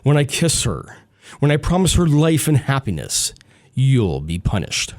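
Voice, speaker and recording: An actor playing a tormented, vengeful spurned lover, bitter and mocking, in intense radio melodrama. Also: male voice, mid-range voice, sing-song, a little slow, darkish tone. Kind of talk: melodramatic old-time radio drama acting.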